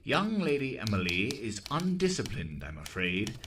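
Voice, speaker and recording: A man's voice: on the low side, huge pitch swings, moderate pace, a bit bright, far from the mic, a little hiss or hum. A man voicing a stern, disapproving old spymaster reciting his memoir in a formal, disdainful manner for an audiobook. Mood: stern disapproval.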